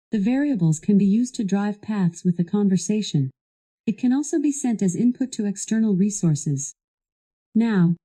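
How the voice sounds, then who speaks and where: Very mellow, dark-voiced female assistant. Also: mid-range voice, breathy edge. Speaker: voice assistant guiding a product demo. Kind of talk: scripted instructional narration.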